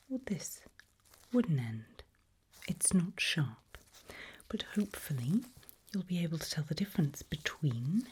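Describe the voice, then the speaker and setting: A woman whispering in a breathy voice, playing a polite, calmly creepy medical examiner in an ASMR roleplay. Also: low voice. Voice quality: breathy, mostly unvoiced whisper.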